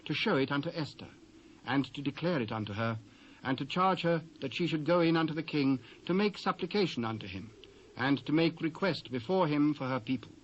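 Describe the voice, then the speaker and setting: A man's voice: a little high, a little fast, a little hiss or hum. Solemn British male narrator, dull cassette sound. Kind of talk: dramatised scripture narration. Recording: dull old cassette recording.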